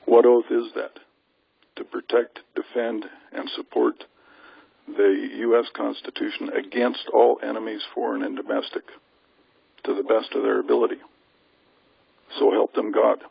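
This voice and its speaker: A man with a very gravelly, husky voice speaks with assurance, as if briefing a group. He is emphatic and likes to pose rhetorical questions. The sound is a dry, lo-fi conference line. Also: medium pitch, level tone, a little slow, long pauses, dry recording. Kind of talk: informal briefing monologue. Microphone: telephone conference call.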